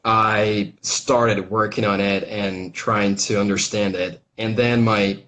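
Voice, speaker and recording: Brazilian-accented man, halting and low. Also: steady tone, a bit bright, rough recording. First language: Portuguese. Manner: halting, many fillers and restarts. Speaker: English teacher guest. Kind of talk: casual interview chat. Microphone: video call.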